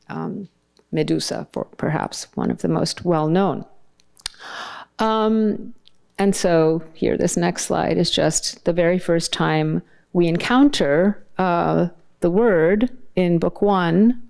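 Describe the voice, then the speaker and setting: Low, smooth-voiced woman lecturing thoughtfully. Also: darkish tone. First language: Bengali. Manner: thoughtful, searching for words mid-sentence. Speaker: translator giving a keynote talk. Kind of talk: academic lecture with slides.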